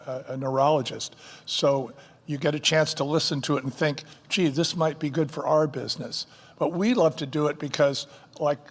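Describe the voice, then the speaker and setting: A man, a company co-founder, tells stories eagerly as a guest on stage. His voice sits a little high and rings with a long hall echo. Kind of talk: fireside chat on stage.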